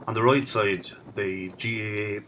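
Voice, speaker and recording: A man's voice: on the low side, steady tone, unhurried, background noise. A man, walking and chatting about the local sights as he films outdoors. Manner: chatty, reminiscing as he walks. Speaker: video blogger. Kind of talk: casual walking commentary. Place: outdoor riverside path in a town.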